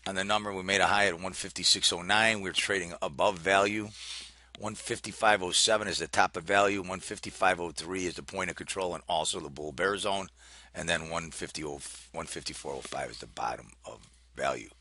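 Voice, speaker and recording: Very deep-voiced man giving market commentary. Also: fairly even intonation, sharp tone. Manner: halting, thinking aloud while reading out numbers. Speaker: market analyst hosting a trading briefing. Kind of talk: informal unscripted market commentary.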